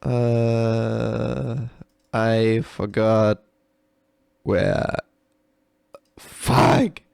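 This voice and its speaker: Man, unhurried, mid-low everyday pitch, casual developer commentary, sweary, self-deprecating, giggly, dry recording. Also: level tone, vocal fry, a little mellow, clear, resonant tone, no echo. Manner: giggly, often breaking into laughter. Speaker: game developer recording a reaction video. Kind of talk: casual unscripted live commentary.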